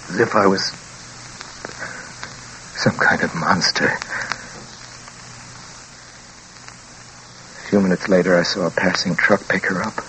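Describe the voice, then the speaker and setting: An actor playing a frightened, haunted man driving alone, narrating intensely and haltingly in 1940s radio drama style. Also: sits low, pushed and bright, a little hiss or hum, rough recording. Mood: shaken, bleak. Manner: intense, halting, repeating himself. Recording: old 1940s radio broadcast with music.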